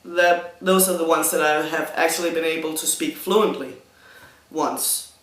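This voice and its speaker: A young Danish man with a Danish accent, answering viewer questions in a casual vlog, hesitant and self-conscious with many pauses and restarts. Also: fairly high pitch, fairly even intonation, fairly bright tone, roomy sound, poor audio. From Denmark. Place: room in front of a green screen.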